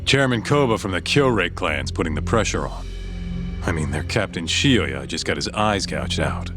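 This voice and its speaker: An actor playing a gruff, loyal tough guy in a video game, talking in a blunt, slangy way. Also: low-pitched, lively intonation, moderate pace. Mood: blunt, determined. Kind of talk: video game cutscene dialogue. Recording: music behind the voice.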